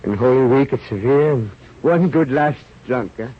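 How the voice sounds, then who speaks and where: A man's voice: medium pitch, moderate pace, natural voice. An actor in a 1930s radio drama playing a boisterous, big-hearted male adventurer and rat catcher, declaiming in bombastic broken English. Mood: weak, dying, peaceful. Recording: muffled, noisy old radio recording.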